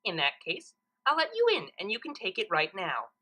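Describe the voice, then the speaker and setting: An intellectual female pony from a cartoon fan story, put on by a woman reader. She is exasperated and dismissive, with a bright tone and lively, climbing pitch. Her manner is proud and condescending. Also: moderate pace, full character voice. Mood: dismissive, smug. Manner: indignant, condescending.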